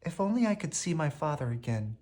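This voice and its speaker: An earnest young storybook heroine, played by a male reader. The voice is lifted and expressive, gentle and kind but with a spirited firmness. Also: a little high, a little fast, pitched above the performer's own voice. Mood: gentle, wistful. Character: kind, spirited young woman from a fairy tale.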